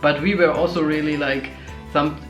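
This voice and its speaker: A young man who did not grow up speaking tells personal stories in an earnest, halting way, often stopping to restart. His voice sits a little high for a man. He is recorded in an echoey room with soft music playing underneath. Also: steady tone, lo-fi sound. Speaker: co-host. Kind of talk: casual chat about relationships.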